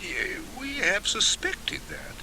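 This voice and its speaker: Man acting a fussy, prim middle-aged bachelor, wildly swooping pitch. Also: big pitch swings, slow, heavily acted. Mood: puzzled. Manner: prim, plaintive, precise. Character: fussy, sedate middle-aged bachelor, set in his ways. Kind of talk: acted audiobook dialogue. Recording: hissy old cassette tape.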